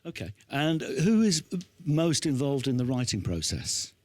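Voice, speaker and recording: A man's voice: medium pitch, big pitch swings, measured pace, dry recording. A man in his fifties hosting a casual on-stage interview in a chatty, encouraging way, speaking into a handheld microphone. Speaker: host interviewing a young band.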